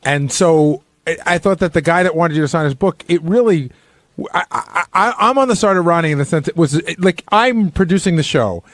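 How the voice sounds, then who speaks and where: A man's voice: on the high side, a little fast, dry recording, studio quality. A man in a casual on-air discussion, opinionated and often doubling back on his words as he makes his point. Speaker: radio show producer.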